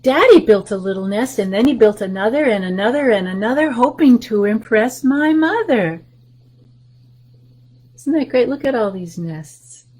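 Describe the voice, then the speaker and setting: A woman hosting a library story time, reading a picture book aloud to children and explaining the pictures in a gentle voice that often drops to a soft whisper. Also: fairly high pitch, lively intonation, moderate pace, low-quality recording.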